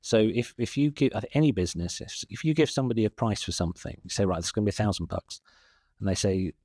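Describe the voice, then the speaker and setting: A man's voice: lowish voice, quick pace, darkish tone, no echo, studio quality. A man with a British accent, answering interview questions in a rambling, self-deprecating way, often laughing at his own remarks. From England. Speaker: guest, SEO consultant.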